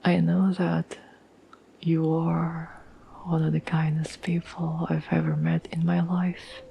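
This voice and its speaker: A woman playing a caring, tender girlfriend in an intimate ASMR roleplay, speaking in a soft, breathy whisper with gentle, halting pauses. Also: low-pitched, slight background noise, full character voice, low-quality recording. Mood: tender, earnest. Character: caring, tender girlfriend reassuring her troubled partner.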